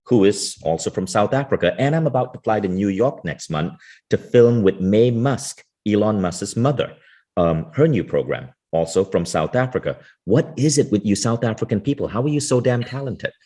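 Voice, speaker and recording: A man's voice: lowish voice, expressive, moderate pace, mellow. A man hosting a podcast, introducing his guest with enthusiastic, effusive praise. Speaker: podcast host. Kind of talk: podcast interview.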